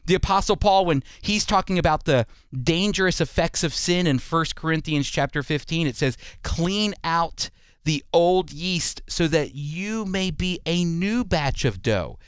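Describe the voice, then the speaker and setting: A man's voice: fairly high pitch, animated, unhurried, smooth, dry recording. A man giving earnest, emphatic Bible teaching in the style of a sermon, using rhetorical questions. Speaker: Bible teacher and preacher on a religious programme.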